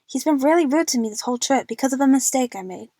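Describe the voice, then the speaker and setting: A woman acts out a feisty young heroine in an audio drama, outspoken and impatient. Her voice is fairly high and very dry in the recording. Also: expressive, fast, mellow, dry recording. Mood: irritated. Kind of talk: amateur audio drama dialogue.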